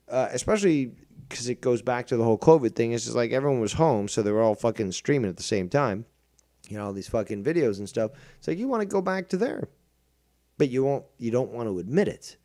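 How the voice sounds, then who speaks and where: A man's voice: medium pitch, warm tone. A man hosting a livestream, talking in a combative, mocking and profane way. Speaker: streamer host. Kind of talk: livestream commentary.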